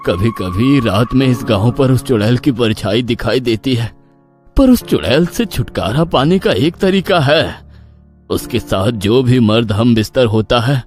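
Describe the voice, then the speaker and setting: A man's voice: medium pitch, a little mellow, lightly acted. A man voicing a grave old village uncle who tells stories in a horror tale. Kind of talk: horror storybook dialogue. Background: background music.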